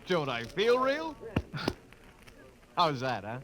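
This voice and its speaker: Hearty, boyish chap, very high excitable voice, old radio drama with crowd behind. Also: male voice, drawn-out words, full character voice, rough recording. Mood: jovial. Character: jovial, boyish, hearty long-lost brother or crewman. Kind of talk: 1950s radio drama acting.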